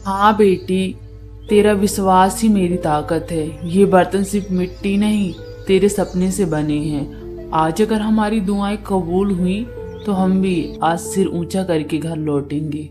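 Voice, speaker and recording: A woman's voice: lowish voice, fairly even intonation, unhurried, over music, lightly acted. A woman voicing a poor, proud, pleading village potter and father in a cartoon story. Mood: hopeful.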